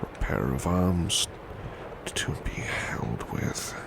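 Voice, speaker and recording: A man playing a big, burly, devoted butler in a soft ASMR roleplay, murmuring in a breathy, polite, tender and protective way. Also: extremely low pitch, expressive, takes it slowly, noisy background, exaggerated voice. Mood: sleepy, soothing. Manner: polite, formal, tender, reassuring.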